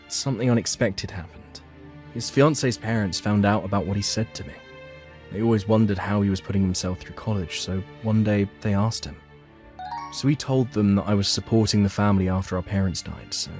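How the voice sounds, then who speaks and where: A man's voice: fairly low pitch, darkish tone. A man narrating a dramatized first-person story for a manga dub. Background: background music.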